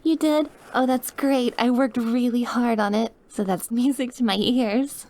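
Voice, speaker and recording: A woman playing a sweet, awkward, wistful young woman who is dying and quietly obsessive, in a soft, hesitant, intimate ASMR roleplay. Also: on the high side, unhurried, natural voice. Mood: sweet, eager. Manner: soft, hesitant, gushing, at times dropping to breathy murmurs. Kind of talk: ASMR audio roleplay, intimate one-sided dialogue.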